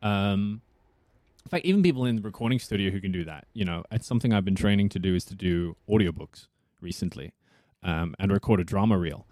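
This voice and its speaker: Husky soothing-voiced South African man. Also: sits low, animated, a little fast, darkish tone, no echo. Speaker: actor and voice actor. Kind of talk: casual podcast chat.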